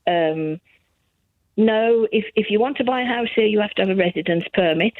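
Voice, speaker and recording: An older woman rings in to a radio show, her gravelly voice squeezed through a thin, tinny phone line. She chats in a rambling, self-mocking way, and the sound is close and dry. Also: medium pitch, normal speed, no room sound. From England. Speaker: caller. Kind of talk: phone-in chat.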